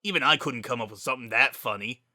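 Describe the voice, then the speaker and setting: A bright, clear-cut voice for a wisecracking skeleton acted by a man. He talks in casual slang, teasing but fond, like an easygoing joker in a dramatized fantasy story. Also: mid-range voice, fast talker, no room sound, clean studio sound. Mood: teasing, reassuring. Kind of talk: dramatic reading of fan fiction.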